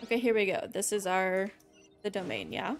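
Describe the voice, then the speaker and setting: A woman's voice: medium pitch, sing-song, moderate pace. A young woman doing casual live gameplay commentary as a streamer, wry, rambling and playful. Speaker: game streamer. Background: game music.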